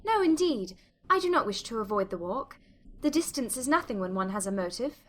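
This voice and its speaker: A woman voicing a spirited, determined young gentlewoman, brisk and assertive, in a dramatized audiobook. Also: a little high, big pitch swings.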